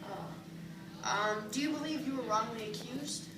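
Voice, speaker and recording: A woman hosts a newsreel in a slightly high voice. The recording is very echoey, with clear noise behind her and the mic some way off. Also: a little slow, far from the mic. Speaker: news host and interviewer. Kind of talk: newsreel presenting.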